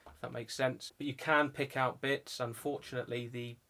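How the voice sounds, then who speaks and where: A man's voice: medium pitch, level tone, normal speed, creaky, pushed and bright. A young man presenting a casual vlog in an informal, chatty way. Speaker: video channel host. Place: indoor music studio.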